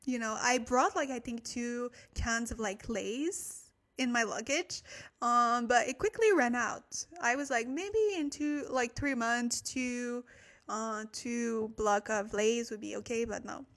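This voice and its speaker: A high-pitched woman hosts a casual online language lesson. Her accent mixes French and Korean colouring, and she is friendly and giggly as she answers and thanks her viewers. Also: slow, a bit bright. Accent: mixed French and Korean-tinged English. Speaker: livestream Korean language teacher.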